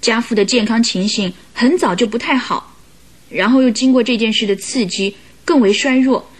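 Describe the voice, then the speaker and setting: A woman's voice: a little high, normal speed, lightly acted. An actress playing an innocent, sorrowful young woman in a radio drama, speaking politely and hesitantly. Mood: anxious, sorrowful. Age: young adult. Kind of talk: Mandarin radio drama acting.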